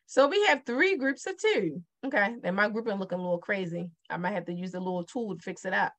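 A woman's voice: medium pitch, animated, fast talker, a bit bright. A middle-aged woman with a Baltimore accent teaching multiplication over a digital whiteboard, chatty and repeating her questions to the viewer. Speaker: math teacher. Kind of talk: casual whiteboard math lesson.

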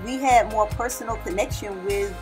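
A woman vlogging a cruise ship tour, chatting in an unhurried way with lots of fillers. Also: mid-range voice, over music. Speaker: travel vlogger. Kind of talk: casual travel vlog chat.

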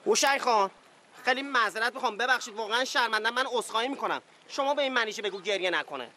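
A man's voice: very high voice, lively intonation, sharp tone, slight background noise, full character voice. A man playing a boastful, self-pitying character who talks theatrically, with mock praise and sulky complaints.

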